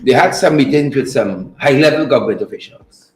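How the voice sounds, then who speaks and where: A man's voice: medium pitch, lively intonation, brisk, reverberant. A man co-hosting a casual current-affairs chat, joking and laughing as he slips into dialect. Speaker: co-host.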